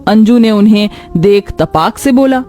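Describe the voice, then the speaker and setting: A woman's voice: mid-range voice, lively intonation, unhurried, mellow, faint music behind. A woman narrating a scripted cartoon story.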